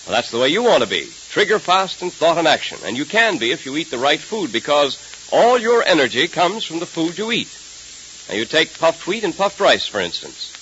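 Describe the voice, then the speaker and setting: A man's voice: fairly high pitch, sing-song, moderate pace, bright, forceful tone. An American man announcing and narrating an old 1930s radio serial in an emphatic, theatrical scripted style. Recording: hissy, muffled 1930s radio transcription.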